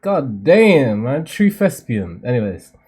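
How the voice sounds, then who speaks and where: A man hosting a manga read-along, giving casual, animated reaction commentary in a slangy, jokey way. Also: medium pitch, takes it slowly, slightly rough, soft background music.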